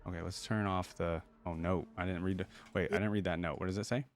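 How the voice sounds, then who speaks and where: A man's voice: low voice, fairly even intonation, quick pace. A man giving casual reaction commentary as a host, rambling as he thinks aloud.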